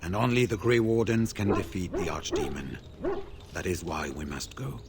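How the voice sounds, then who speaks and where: An actor playing a grave veteran warden scout in a fantasy video game, speaking in a formal, measured way. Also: male voice, low-pitched, noisy background.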